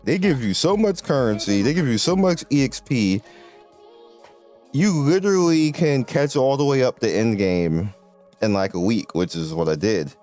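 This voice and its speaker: A young man giving casual gameplay commentary, rambling and excitable, often restarting his sentences. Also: medium pitch, normal speed, faint music behind. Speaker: gaming video creator.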